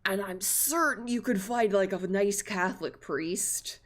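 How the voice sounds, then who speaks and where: A woman livestreaming a visual novel, chatting and reacting aloud to the characters in an informal, expressive way. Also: medium pitch, unhurried. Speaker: streamer playing a visual novel.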